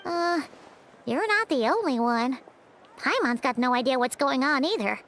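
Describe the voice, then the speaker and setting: A woman voicing a small, childlike fairy companion in a video game, squeaky and expressive. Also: normal speed, a little hiss or hum. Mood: confused. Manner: speaks of herself in third person, expressive. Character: small childlike female fairy companion, chatty, third-person talker. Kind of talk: English video game voice acting. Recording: music behind the voice. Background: game music.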